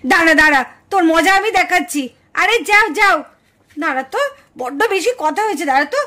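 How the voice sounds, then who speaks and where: A woman's voice: very high voice, expressive, quick pace, pushed and bright, exaggerated voice. A woman voicing a quarrelsome, indignant village daughter-in-law in a puppet cartoon family drama. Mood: angry, defiant. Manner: scolding, indignant, exclamatory.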